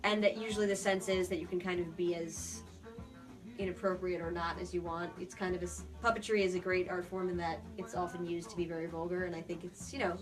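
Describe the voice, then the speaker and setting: An American woman talking casually as an interview guest, earnest and a little rambling and self-effacing. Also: on the low side. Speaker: interview guest, puppeteer and theater artist. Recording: distant mic with music behind.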